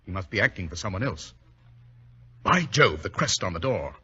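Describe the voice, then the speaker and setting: An actor playing a brisk, fastidious English detective in a 1940s radio drama, with clipped, theatrical speech. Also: male voice, deep voice, big pitch swings, sharp tone, exaggerated voice. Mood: brisk, intent. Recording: dull, husky 1940s radio recording.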